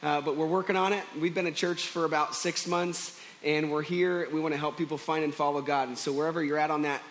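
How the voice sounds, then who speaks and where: A young man preaching as pastor at a church service, hoarse and chatty, joking with fillers and restarts. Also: fairly high pitch, fairly even intonation, a little fast, a bit bright, low-quality recording. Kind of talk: casual sermon.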